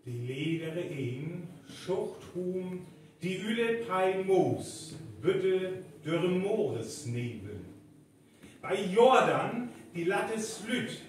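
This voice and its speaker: A man's voice: high-pitched, sing-song, very slow. A man with a North Frisian accent narrating a live radio play as scripted storytelling. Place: event venue, live stage recording. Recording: very echoey hall, distant, noisy live recording.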